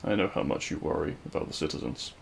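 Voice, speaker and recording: Man playing a low, gravelly, regretful villain. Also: a little hiss or hum, full character voice. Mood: tender, subdued. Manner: hushed, halting, wistful, with pauses and sighs. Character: regretful lovelorn male supervillain addressing his fallen hero. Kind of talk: scripted ASMR audio roleplay monologue.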